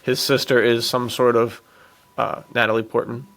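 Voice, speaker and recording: Man, vocal fry, medium pitch, podcast co-host, casual slangy film talk. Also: level tone, moderate pace, fairly bright tone, poor audio. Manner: informal slang, dude-heavy. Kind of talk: casual chat about adventure films.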